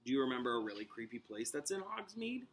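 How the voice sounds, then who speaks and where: A man's voice: mid-range voice, very animated, fast, slight background noise. A man reading a storybook aloud as a teacher, with dramatic narration aimed at children. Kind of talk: expressive storybook read-aloud with asides.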